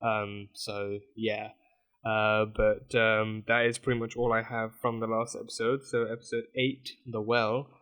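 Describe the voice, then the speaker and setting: A man with a somewhat low, hoarse voice talks through a TV episode in a loose, rambling way. His throat is sore, so every word comes out raspy, and he keeps restarting his sentences. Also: flat delivery, a little slow, fairly bright tone. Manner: rambling, many fillers and restarts. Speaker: reaction video host. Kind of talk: casual commentary on a TV episode.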